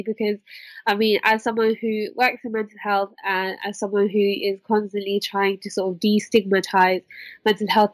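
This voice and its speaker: A woman's voice: mid-range voice, dry recording, rough recording. Young woman, earnest explainer, dry sound. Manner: earnest, explanatory, hedging with "sort of". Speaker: podcast guest, mental health worker. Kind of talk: conversational explanation in a podcast interview.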